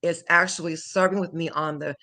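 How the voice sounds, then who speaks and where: A woman's voice: fairly low pitch, fairly even intonation, brisk, sharp tone. A woman, chatting animatedly as an interview guest about teaching languages, laughing often and slipping into French. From Houston, Texas. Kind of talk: casual interview chat.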